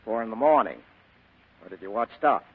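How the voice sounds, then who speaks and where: A cool, wry private detective in a 1940s radio mystery. He speaks tersely and rather low, in a muffled broadcast with long reverb. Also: lively intonation, brisk, slightly rough. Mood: wry, guarded. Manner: terse, sardonic.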